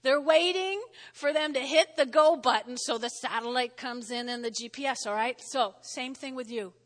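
A woman pastor with a New Jersey accent, preaching a sermon in a lively, conversational way and often checking in with "all right?". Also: sits high, moderate pace. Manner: lively, spells words out, frequent "all right?" check-ins. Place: church sanctuary. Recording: long hall reverb.

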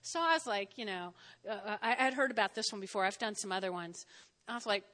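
A woman pastor with a New Jersey accent, preaching a sermon in a lively, conversational way and often checking in with "all right?". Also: mid-range voice, a little fast. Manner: lively, spells words out, frequent "all right?" check-ins. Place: church sanctuary. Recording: long hall reverb.

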